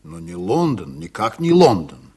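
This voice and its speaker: A man's voice: low-pitched, huge pitch swings, unhurried, darkish tone, breathy edge, full character voice. A man acting a proud, stubborn sea captain in a radio drama, speaking firmly and formally with ironic bite. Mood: ironic confidence.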